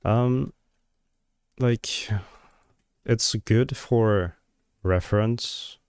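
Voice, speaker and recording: Guy on stream muttering to himself while working, slow, lots of "like" and "um", smooth clear voice. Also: fairly low pitch, a little mellow, no room sound. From Georgia. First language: Georgian. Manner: hesitant, many fillers and trailing thoughts. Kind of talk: thinking aloud while working on a live stream.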